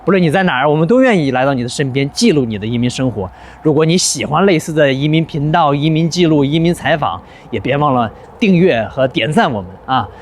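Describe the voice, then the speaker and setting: A man's voice: on the high side, fast, few pauses. Male vlog host, street noise. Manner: lively, addresses viewers directly. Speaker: channel host. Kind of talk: vlog narration and greeting. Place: outdoors on a residential street. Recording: outdoor vlog audio with traffic noise.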